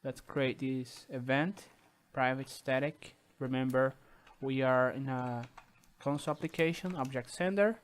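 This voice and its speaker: Slow, halting man with a Brazilian accent. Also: mid-range voice, smooth. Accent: Brazilian Portuguese. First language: not English. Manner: slow, halting, many fillers and restarts. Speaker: programming tutorial host. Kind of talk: screen-recorded coding tutorial.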